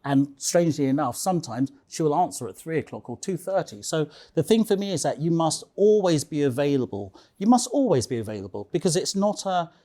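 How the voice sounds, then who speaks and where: A man's voice: on the high side, sing-song, brisk, hardly any pauses, dark tone. A man speaking casually as an interview guest, telling anecdotes emphatically, repeating phrases and checking in with the listener. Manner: emphatic, repeats phrases, tags statements with "okay?".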